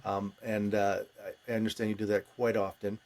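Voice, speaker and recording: A man's voice: lowish voice, level tone, brisk, a little mellow. An American man hosting a podcast, talking casually as he interviews a guest. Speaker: podcast host.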